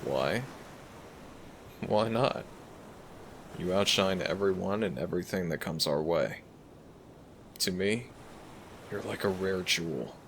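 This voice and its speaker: A man playing a gentle young dragon prince, a devoted boyfriend talking tenderly to the listener in a romantic audio roleplay. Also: low-pitched, takes it slowly, pauses often, a little hiss or hum. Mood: adoring. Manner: tender, affectionate, soft-spoken reassurance. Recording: music behind the voice. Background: background music.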